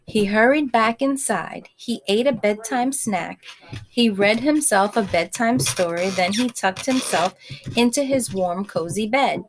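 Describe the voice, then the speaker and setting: A woman's voice: mid-range voice, measured pace, slight background noise, poor audio. A woman reading a picture book aloud to young children, expressively, with conversational asides and questions to the listeners.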